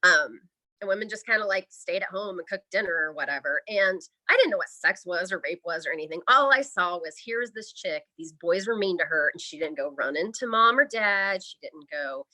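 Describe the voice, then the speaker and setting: A woman's voice: fairly low pitch, expressive, fairly quick, bright, forceful tone, poor audio. A woman from the American Midwest chatting casually and candidly as an interview guest, often saying "like". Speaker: interview guest, podcaster and writer.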